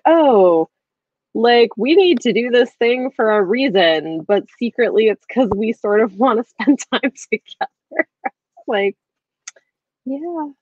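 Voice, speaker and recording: A woman in her thirties chats casually about a book, rambling a little as she thinks aloud. Her pitch sits in the middle, and the sound is close and dry, slightly dull like a video call. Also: no echo. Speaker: book club livestream host. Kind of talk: casual book discussion chat. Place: indoor room at home, on a video call.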